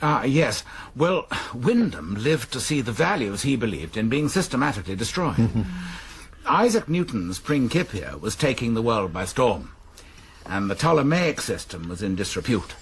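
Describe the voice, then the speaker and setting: A man's voice: mid-range voice, big pitch swings, normal speed, slight background noise. A man playing a fussy, self-satisfied college librarian in a radio drama, with an English accent and an arch, precise, donnish delivery. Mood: earnest, donnish.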